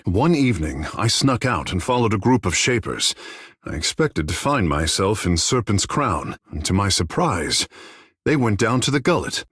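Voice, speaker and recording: Flamboyant husky young demigod, swooping intonation. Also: male voice, fairly low pitch, fairly bright tone, dry recording, studio quality, heavily acted. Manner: theatrical, lofty formal phrasing. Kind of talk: scripted fantasy video game dialogue.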